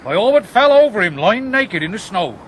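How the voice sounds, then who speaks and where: A man's voice: high-pitched, very animated, fairly quick, a bit bright, slight background noise. An actor playing a plain-spoken, pious medieval countryman with a British accent, earnest and questioning, in a radio drama. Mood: agitated.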